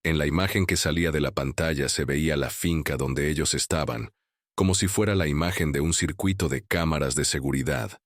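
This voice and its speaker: A man with an extremely deep, low voice narrates horror tales in a grave, measured way. The recording is dry and close, with no audible room. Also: dry recording. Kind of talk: scripted horror storytelling.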